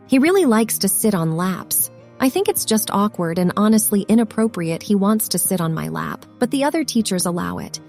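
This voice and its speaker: A woman's voice: medium pitch, darkish tone, natural voice. A woman playing an earnest, slightly unsure classroom aide recounting her day in a scripted monologue.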